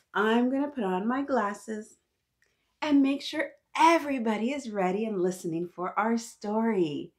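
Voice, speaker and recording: Slow-paced woman reading to little kids. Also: mid-range voice. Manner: animated, encouraging, talks directly to little listeners. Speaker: children's read-aloud story host. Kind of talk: interactive storytime reading aloud to young children.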